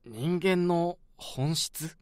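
A man playing a polite hospital doctor who speaks in a measured, philosophical way. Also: on the high side, clean tone, dry recording, studio quality. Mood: calm. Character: calm philosophical male hospital doctor, middle-aged.

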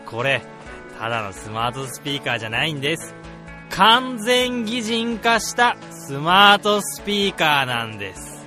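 Man acting an excitable, eager researcher, bright animated voice. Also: a little high, moderate pace, faint music behind, exaggerated voice. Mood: eager presenting. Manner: exclamatory, eager. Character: excitable, eager male researcher, flustered and deferential to boss. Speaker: voice actor, also narrator. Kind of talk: comedic radio drama acting.

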